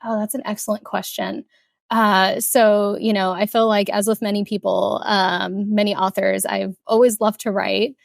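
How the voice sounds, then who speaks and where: A young woman from Kuwait, an author talking casually in an interview, chatty with many fillers and soft laughs. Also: medium pitch, little pitch movement, normal speed, creaky, dry recording, studio quality. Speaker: author guest.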